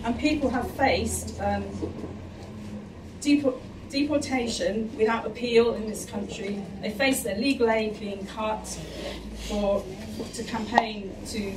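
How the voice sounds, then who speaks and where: A woman's voice: medium pitch, steady tone, measured pace. Earnest woman, roomy and distant. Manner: earnest, campaigning. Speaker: charity worker and event organiser. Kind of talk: speech to a crowd. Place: crowded small art gallery, indoors. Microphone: far camera. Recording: roomy, distant, crowd noise.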